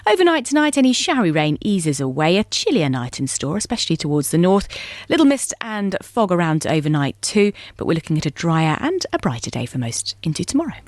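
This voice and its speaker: A woman's voice: fairly low pitch, sing-song, fairly quick, darkish tone, no room sound, studio quality. A woman with a British accent presenting a radio weather forecast in a conversational style. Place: dry broadcast radio studio.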